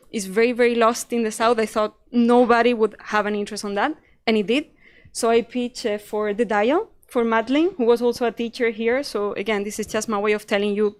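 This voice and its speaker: A young woman from Spain with a Spanish accent, telling students about her first job in a chatty, self-deprecating way. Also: a little high. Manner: chatty and self-deprecating, acts out her own past thoughts. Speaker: panel speaker, investigative journalist. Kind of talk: casual panel talk to students.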